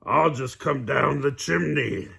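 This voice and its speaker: A man's voice: mid-range voice, slow pace, creaky, a bit bright, husky, voice pushed up, heavily acted. A man voicing a hungry, menacing storybook wolf who draws out his threats in a gleeful sing-song. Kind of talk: children's storybook read-aloud.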